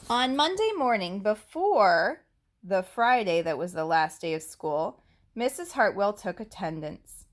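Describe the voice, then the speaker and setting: A woman teacher reading a picture book aloud to young children, deliberately and expressively, with explanatory asides and questions. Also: mid-range voice. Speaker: elementary school teacher reading to her class.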